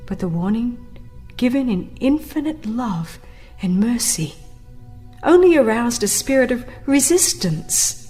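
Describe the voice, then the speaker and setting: A woman narrating a religious book as a dramatized reading, solemn and reverent, with measured, dramatic emphasis. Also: on the high side, a little mellow. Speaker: audiobook narrator.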